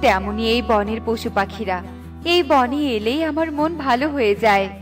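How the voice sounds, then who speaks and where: A gracious fairy performed by a woman speaks in a high voice with generous, appreciative warmth of manner. It is cartoon fairy-tale dialogue with music underneath. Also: moderate pace, natural voice. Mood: delighted.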